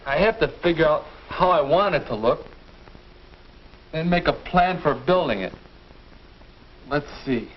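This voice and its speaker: A man's voice: on the high side, expressive, slight background noise. A young American man acting as an earnest teenage boy thinking aloud in a 1950s educational film. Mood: puzzled, focused. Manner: deliberate, self-questioning, earnest. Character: earnest teenage boy working out his future. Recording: dull vintage 1950s film soundtrack.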